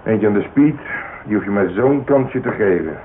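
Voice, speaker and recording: Actor as a gruff old-school detective, tinny radio sound. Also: male voice, lowish voice, animated, moderate pace, a little hiss or hum, close to an everyday voice. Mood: teasing, probing. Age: middle-aged. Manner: blunt, grumbling, needling. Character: gruff jaded old-school police detective, teasing and blunt. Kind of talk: naturalistic radio drama dialogue.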